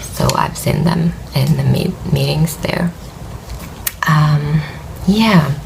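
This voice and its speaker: Woman, Finnish accent, low and croaky, worn out, halting with fillers, audible background noise. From Finland. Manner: hesitant, rambling with many fillers and soft laughs. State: tired, voice worn. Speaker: knitting podcast host. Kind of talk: casual vlog chat.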